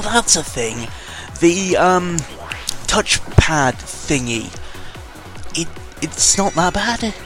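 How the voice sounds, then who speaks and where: British guy gaming vlogger just rambling, swears a lot, keeps going off track, music clearly playing behind him. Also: mid-range voice. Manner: digressive, sweary, self-correcting. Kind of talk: casual rambling game commentary. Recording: music under the voice.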